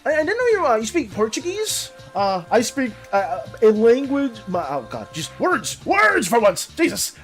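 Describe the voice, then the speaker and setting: A streamer chatting with their viewers in a loose, rambling way, reacting to each message as it arrives. The voice sits in a middle pitch but swings widely up and down. Soft music plays faintly in the background. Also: moderate pace, hardly any pauses. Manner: animated, rambling, reacts to chat. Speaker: art and game streamer. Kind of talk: casual livestream chat with viewers.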